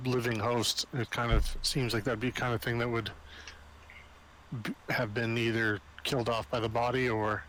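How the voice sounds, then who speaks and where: A man's voice: medium pitch, fairly bright tone. A man chatting casually as a player in a tabletop roleplaying game. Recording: faintly noisy room recording.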